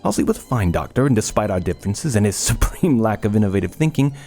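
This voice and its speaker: A man's voice: on the low side, animated, quick pace, mellow. A man playing an arrogant, obsessive young medical researcher in an audio drama, speaking in a pompous, formal way. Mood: brooding.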